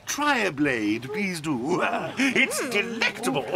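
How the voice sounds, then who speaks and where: An actor playing an eccentric, gleeful chocolate factory owner who giggles and talks in a theatrical, whimsical way. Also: male voice, a little high, huge pitch swings, slow pace, a crowd in the background, heavily acted. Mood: delighted. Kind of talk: audio drama acting.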